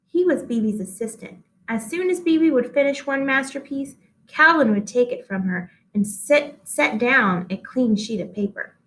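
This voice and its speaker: High-voiced woman reading a children's story. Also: lively intonation, normal speed, a bit bright, slight background noise, low-quality recording. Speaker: read-aloud storyteller. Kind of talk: expressive children's storybook narration.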